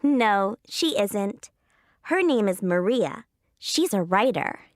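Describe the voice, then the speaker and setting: A woman acting a thirteen-year-old girl tennis player in a scripted textbook dialogue, giving short, slow, clearly spaced answers. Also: medium pitch, animated, no echo, studio quality. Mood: plain. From Canada.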